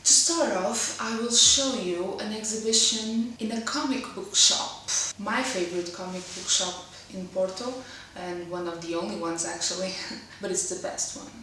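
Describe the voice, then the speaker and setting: A woman's voice: medium pitch, steady tone, normal speed, sharp tone, slight background noise. A woman in her twenties from Portugal with a Portuguese accent, English being her second language, hosting a casual web series and talking carefully with occasional hesitations. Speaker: host. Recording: roomy echo, mic at a distance.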